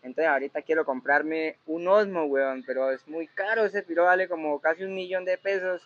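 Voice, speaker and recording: A man's voice: fairly high pitch, steady tone, a little fast, a bit bright, lo-fi sound. A young man with a Colombian accent, playfully pleading on prank phone calls to friends. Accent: Colombian Spanish. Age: young adult. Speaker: content creator. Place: small phone repair shop, then shoe store. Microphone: phone held up to camera, speakerphone.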